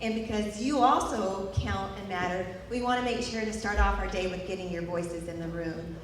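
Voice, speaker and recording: A woman's voice: mid-range voice, moderate pace, slight background noise. A woman with a Southern US accent leading a workshop, upbeat and instructive, carefully repeating each step for the group. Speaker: workshop facilitator. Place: large meeting hall with many tables. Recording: echoey hall from far off, busy crowd.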